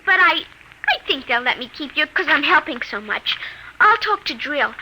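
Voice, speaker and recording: Shrill, earnest little girl. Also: very animated, normal speed, bright, forceful tone, heavily acted. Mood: matter-of-fact. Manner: breathless, insistent, childlike explaining. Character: earnest, excitable little girl plotting an invasion with a friend. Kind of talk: 1950s radio drama acting. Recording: noisy vintage 1950s radio recording.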